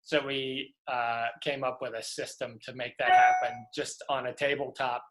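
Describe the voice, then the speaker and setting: A middle-aged man from Louisiana tells long, meandering stories in an easygoing interview over a video call. He wanders through his thoughts with frequent fillers and restarts, at a pitch typical for a man. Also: level tone, normal speed, low-quality recording. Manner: rambling, many fillers and restarts. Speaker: prop master guest. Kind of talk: relaxed interview storytelling.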